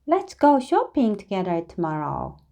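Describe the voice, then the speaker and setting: A woman's voice: mid-range voice, wildly swooping pitch, normal speed, creaky, dark tone. A woman voicing a breezy, always-tardy little female storybook character with a casual, apologetic manner.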